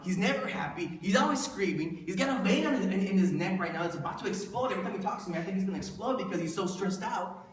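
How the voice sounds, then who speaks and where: A thirty-year-old man giving a motivational presentation to an audience in a conversational way, putting rhetorical questions to listeners and repeating himself for emphasis. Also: sits high. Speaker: motivational presenter pitching a business plan. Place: indoor room before an audience. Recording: echoey room, distant mic, faint noise.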